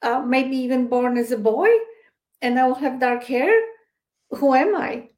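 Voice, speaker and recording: A woman with a Polish accent talks in a halting, casual interview manner, often pausing on fillers and restarting her sentences. Her voice sits a little higher than most women's. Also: a little mellow. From Poland. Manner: hesitant, many fillers and restarts. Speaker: guest psychic medium.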